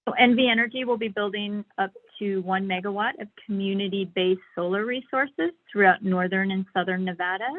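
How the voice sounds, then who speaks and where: A woman's voice: mid-range voice, fairly quick, hardly any pauses. A woman giving a slide presentation on a conference call over a thin, tinny phone line. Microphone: phone or online call.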